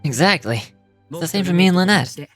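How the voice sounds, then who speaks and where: A woman voicing a suave, courteous young male stage magician in game dialogue, polite, gentle and theatrical. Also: lowish voice, animated, brisk, faint music behind, close to an everyday voice. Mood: earnest, tender. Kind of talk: game dialogue read aloud in character.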